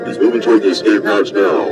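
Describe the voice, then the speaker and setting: A man's voice: lowish voice, steady tone, quick pace, over loud music, natural voice. A man playing a vengeful, obedient alien warrior officer with an intense, formal military manner in a science fiction audio drama. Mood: dutiful, intense.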